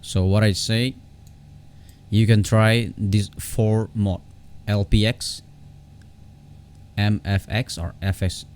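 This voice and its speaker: A man hosting an unscripted software tutorial in a halting, repetitive way, often restarting his phrases. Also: on the low side, steady tone, slight background noise. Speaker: tutorial host.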